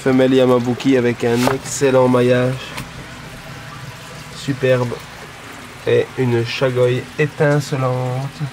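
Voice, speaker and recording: Male co-presenter, medium pitch, casual showcase commentary, ambient vehicle noise behind. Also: level tone, slow pace, long pauses. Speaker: co-presenter and koi buyer. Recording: clear background noise, car sounds.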